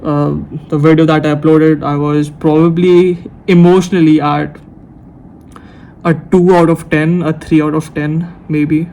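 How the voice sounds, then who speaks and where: Rambling nineteen-year-old man, flat delivery. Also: fairly high pitch, a little slow, a little hiss or hum, low-quality recording. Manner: rambling, hesitant, self-correcting. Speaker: YouTuber. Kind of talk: unscripted talk to camera. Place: room at night. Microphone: camera mic.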